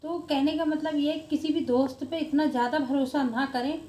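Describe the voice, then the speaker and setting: Woman, slightly high voice, informal vlog commentary, earnest and emphatic, roomy echo. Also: fairly even intonation, fairly quick, dark tone. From India. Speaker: video blogger. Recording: echoey room with faint background noise.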